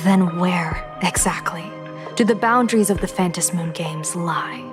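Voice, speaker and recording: A woman playing a composed, authoritative general in a video game, speaking in a measured, formal and firm way over music. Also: fairly low pitch, close to an everyday voice. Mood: demanding. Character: composed, righteous female general, probing and authoritative. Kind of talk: scripted video game voice acting.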